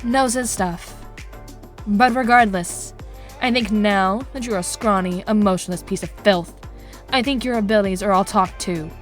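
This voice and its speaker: A woman voicing a sharp-tongued, menacing young clan fighter in a visual novel drama, with scornful and threatening delivery. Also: medium pitch, moderate pace, soft background music, lightly acted.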